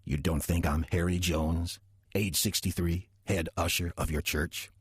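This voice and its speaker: Man acting deep, husky, wry old demon. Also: no room sound, exaggerated voice. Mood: sly, patient. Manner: dry, deadpan, unhurried sarcasm. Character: wry demon posing as a 63-year-old church usher. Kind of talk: audio drama acting with first-person narration.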